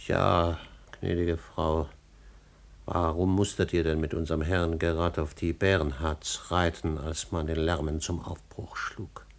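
An actor playing an old, grieving manservant in a classical German radio drama, weary, restrained and bitterly ironic. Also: male voice, deep voice, level tone, a little slow. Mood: bitter irony.

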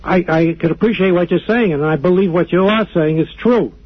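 An older man calls in by phone, sounding earnest and authoritative. His voice is rough and a little high. The line is dull and lo-fi. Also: a little fast. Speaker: phone caller. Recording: dull phone call on broadcast.

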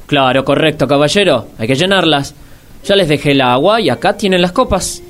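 A man's voice: on the high side, animated, a little fast, a bit bright, faint music behind. An actor playing a busy waiter with wordy, salesman-like politeness in a comic radio play. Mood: obliging. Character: busy, obliging male waiter at a date venue. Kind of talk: radio comedy drama acting.

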